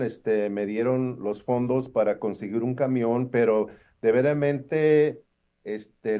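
A man answers as a guest academic. His voice is mellow and of medium pitch. He hesitates often, leans on fillers and slips into English now and then. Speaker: university professor, interview guest. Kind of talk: conversational interview answers.

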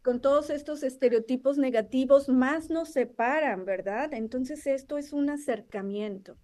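Woman radio cohost, soft-edged warm tone, kinda high, reflective chat. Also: normal speed. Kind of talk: conversational radio discussion.

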